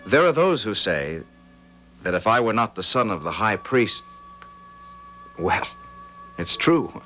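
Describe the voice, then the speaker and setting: A man's voice: sits low, a bit bright, full character voice, low-quality recording. An actor playing a meek, cheerful young man, the priest's son, who tells his own story with wry, hesitant self-mockery. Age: young adult. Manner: self-mocking, hesitant, wry first-person telling. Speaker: narrator. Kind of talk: first-person storytelling in radio drama.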